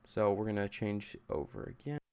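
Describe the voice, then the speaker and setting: A man hosting a casual, unscripted software tutorial, thinking aloud in a halting way as he goes. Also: lowish voice, clear, resonant tone.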